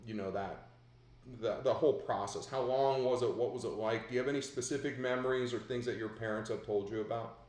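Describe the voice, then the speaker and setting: A man's voice: mid-range voice, steady tone, fairly quick, darkish tone, roomy sound. A man hosting a video call interview, asking long rambling questions with many restarts. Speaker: host.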